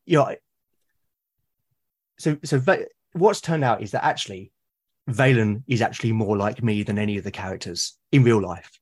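A man from Britain, a podcast guest, talking eagerly about his characters, with lots of restarts and a slightly husky voice. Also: on the low side, normal speed, pauses often, darkish tone. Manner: enthusiastic, rambling with many restarts. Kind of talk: casual podcast conversation.

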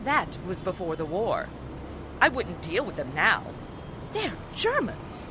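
A woman's voice: fairly low pitch, wildly swooping pitch, slow pace, noisy background, heavily acted. A woman playing a haughty, self-righteous small-town housewife with an emphatic manner in a 1940s-style radio drama. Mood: indignant, disdainful.

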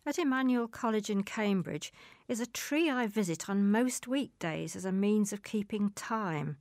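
A woman's voice: medium pitch, animated, unhurried, darkish tone, dry recording, clean studio sound. A woman reading a literary magazine article aloud.

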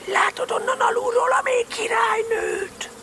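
A woman's voice: very high-pitched, fairly even intonation, noisy background. A woman playing a small, earnest, scheming toy bear in an audio drama, with naive, solemn pronouncements. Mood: conspiratorial. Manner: solemn, self-important pronouncements. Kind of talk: audio drama storybook acting.

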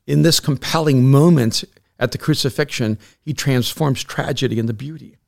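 A middle-aged man from El Salvador, speaking as a guest on a podcast. His voice has a gravelly edge and sits at an ordinary pitch for a man. He talks earnestly and reflectively, often restarting and repeating words as he builds his point. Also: normal speed. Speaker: podcast guest, author and pastor. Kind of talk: reflective casual conversation.

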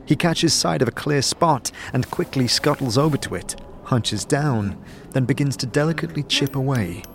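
A man narrating a fantasy audio drama in the second person, with a measured, descriptive storytelling delivery. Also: mid-range voice, creaky, slight background noise. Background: background music.

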